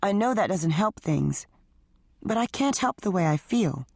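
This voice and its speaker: A woman voicing an exhausted, stressed wife who speaks in a pleading, strained way, a little higher than her narration. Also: lively intonation, a little slow, creaky, darkish tone, dry recording, studio quality. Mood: upset.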